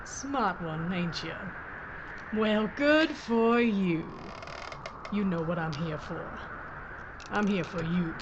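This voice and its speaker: A woman's voice: fairly low pitch, takes it slowly, long pauses. Woman playing a tough, drawling frontier sheriff. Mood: steely. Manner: plain-spoken, hard, folksy. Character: tough, blunt female Old West sheriff. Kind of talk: Western audio drama acting. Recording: muffled lo-fi with clear noise.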